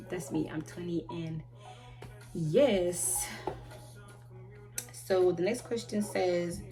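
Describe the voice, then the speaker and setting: A woman in her twenties answering viewer questions in a rambling, halting way, often restarting and trailing off. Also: mid-range voice. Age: 20s. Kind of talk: casual chat. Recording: loud music playing behind her.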